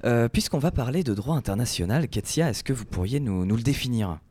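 A man hosting a radio interview, asking questions in a relaxed, conversational way. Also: mid-range voice, brisk, breathy edge, dry recording. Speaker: radio host. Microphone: studio microphone.

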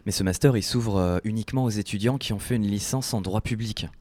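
A man hosting a radio interview, asking questions in a relaxed, conversational way. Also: lowish voice, fast talker, no echo. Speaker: radio host. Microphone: studio microphone.

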